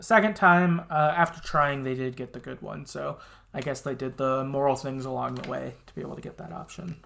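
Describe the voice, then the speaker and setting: A man's voice: fairly high pitch, creaky, fairly bright tone. A man co-hosting a podcast, talking casually and reflectively about video games in a conversational way. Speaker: podcast co-host. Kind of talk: casual video game discussion.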